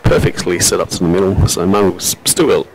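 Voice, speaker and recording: A man with an Australian accent and a robust, loud voice, giving relaxed, chatty live commentary on a pool match with frequent fillers. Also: sits low, normal speed, very noisy. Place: venue at a pool championship.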